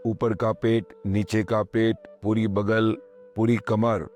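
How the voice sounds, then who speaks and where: Calm guy doing guided meditation, super slow measured instructions w pauses, soft music behind. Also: fairly low pitch. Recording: voice over background music.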